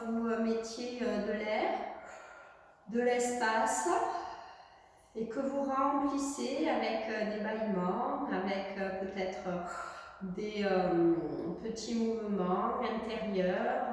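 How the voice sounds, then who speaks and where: A woman teaching yoga, giving guided relaxation instructions in a gentle, halting way with pauses. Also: fairly high pitch. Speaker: yoga teacher leading a class. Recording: echoey reverberant room, distant mic, faint noise.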